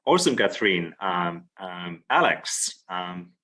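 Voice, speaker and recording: A man hosting a video call breakout session, relaxed and full of fillers. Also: low-pitched, unhurried. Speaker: session host. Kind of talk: casual video call moderation.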